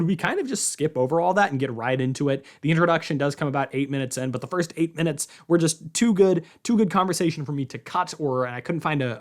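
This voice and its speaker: A man in his early twenties hosting a podcast in a casual, informal, rambling way. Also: a little high. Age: early 20s. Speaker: podcast host.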